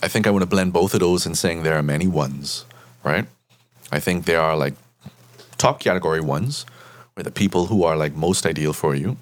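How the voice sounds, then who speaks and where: A man from the Bahamas, a therapist guest, explaining at length in a measured way and often checking in with "right?". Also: low-pitched, no room sound. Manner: measured, tags points with "right?".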